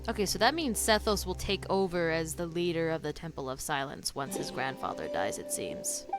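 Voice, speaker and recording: A woman's voice: sits low, animated, normal speed. A woman streamer giving casual reaction commentary on a game, thinking aloud informally. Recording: loud game music behind.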